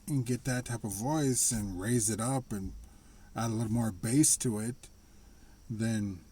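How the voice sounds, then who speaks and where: A man talking casually to the camera in a slightly raspy voice, rambling and restarting his sentences. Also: medium pitch, a little mellow. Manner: rambling, halting, with frequent restarts and laughs. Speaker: vlogger. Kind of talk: casual personal monologue.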